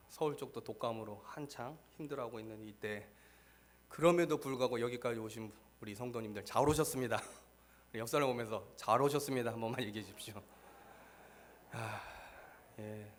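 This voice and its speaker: A man preaching a sermon as pastor, hoarse from a cold, reading scripture and then explaining it earnestly. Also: slight background noise. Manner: earnest, rises in pitch and force when stressing a point. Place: church.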